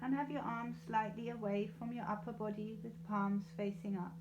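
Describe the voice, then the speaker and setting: A woman leading a guided yoga nidra meditation in a soothing, unhurried, gently reassuring way. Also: mid-range voice, fairly even intonation, noisy background. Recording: slightly dull, echoey room sound.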